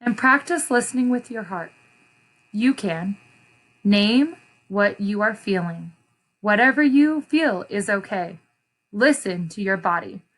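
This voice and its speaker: Slow-paced woman reading aloud to children. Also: fairly high pitch, a bit bright, rough recording. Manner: pausing to question and explain to young listeners. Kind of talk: children's read-aloud with explanatory asides.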